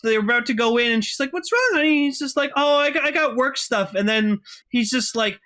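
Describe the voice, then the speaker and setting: A man co-hosting a podcast, chatting casually as a fan in an excitable, rambling way and acting out dialogue. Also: very high voice, brisk. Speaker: podcast host.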